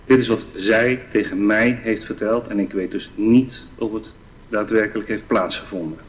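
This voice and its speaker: A man's voice: lowish voice, steady tone, measured pace, rough recording. A man testifying as a witness, speaking carefully and spelling out names.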